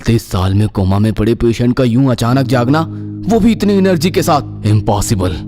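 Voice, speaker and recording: A man playing an excited, commanding senior doctor in a dramatised horror story, with an emphatic delivery. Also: medium pitch, moderate pace, over music, lightly acted. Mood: amazed, irritated. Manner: emphatic, amazed, mixes English into Hindi.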